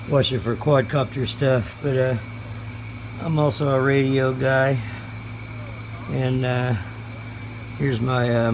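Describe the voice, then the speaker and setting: A man doing a casual show-and-tell as a hobbyist presenter, speaking in a hesitant, folksy, halting way. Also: mid-range voice. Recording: noisy room with steady background hum.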